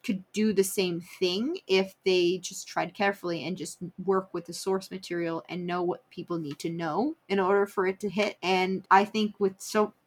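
A woman co-hosting a podcast, giving casual, opinionated pop-culture chat in an animated, theatrical way. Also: on the low side, moderate pace, few pauses. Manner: animated, theatrical when quoting. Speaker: podcast co-host.

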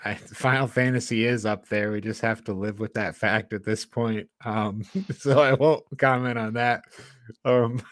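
A man's voice: medium pitch, a little slow, dry recording, clean studio sound. A man hosting a podcast, talking casually as he reviews a film. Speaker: podcast host.

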